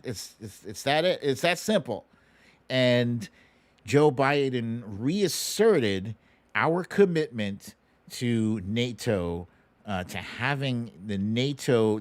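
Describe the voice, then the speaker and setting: A middle-aged American man speaks at an unhurried, slow pace in a medium-pitched voice. He sounds like a livestream host, conversational and slangy as he gives casual commentary. The sound is close and dry. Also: dry recording. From United States. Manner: informal, slangy, conversational, plain-spoken. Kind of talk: casual livestream commentary, taking viewer questions.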